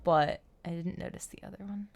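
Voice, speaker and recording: A woman hosting a game livestream, chatting casually in an animated, playful, rambling way. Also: on the low side, fairly quick, vocal fry, a little hiss or hum. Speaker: game streamer and host. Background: background music.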